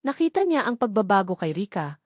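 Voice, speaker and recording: A woman's voice: mid-range voice, big pitch swings, brisk, no room sound. Filipino woman narrating, dry close sound. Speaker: story narrator. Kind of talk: scripted dramatic story narration.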